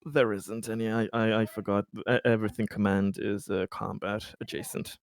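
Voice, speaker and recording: A man's voice: lowish voice, level tone, normal speed, no room sound. A man playing a tabletop roleplaying game, chatting casually in a hesitant, joking way.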